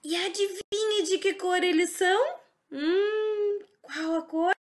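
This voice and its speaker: A woman teacher giving a slow, animated storybook reading for small children, in a Brazilian Portuguese accent. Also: extremely high pitch. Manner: sing-song, exaggerated expressive reading. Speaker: teacher reading a picture book.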